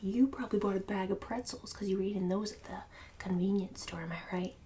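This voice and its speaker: A woman playing a snobbish, bossy book-club mom in an ASMR roleplay, mostly in a breathy whisper with fussy, passive-aggressive condescension. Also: mid-range voice, a little fast, distant mic, slight background noise, lightly acted. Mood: judgmental, smug. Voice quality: breathy whisper and soft speech.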